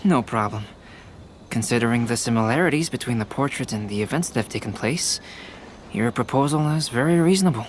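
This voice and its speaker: A man voicing a composed young male alchemist painter in a video game, speaking in a measured, reasoned way. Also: medium pitch, animated, slight background noise, natural voice.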